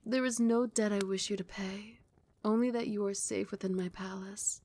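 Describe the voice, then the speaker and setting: Vampire queen, played by a female performer: regal, doting and possessive, ASMR roleplay, hushed and soothing with breathy whispers. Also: medium pitch, steady tone, moderate pace, natural voice. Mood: adoring, teasing. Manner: soft, soothing, often dropping into breathy whispers.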